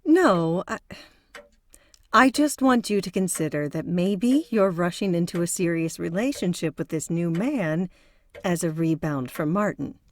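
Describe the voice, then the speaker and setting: A woman playing a measured, analytical psychotherapist in an audio drama. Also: on the low side. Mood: calm, probing. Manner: calm, probing, analytical. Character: measured, probing female psychotherapist.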